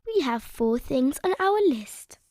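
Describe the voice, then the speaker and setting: Woman voicing a high-voiced, sensible mummy pig. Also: sing-song, slow pace, noisy background, exaggerated voice. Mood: kind, sensible. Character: kind, sensible mother pig.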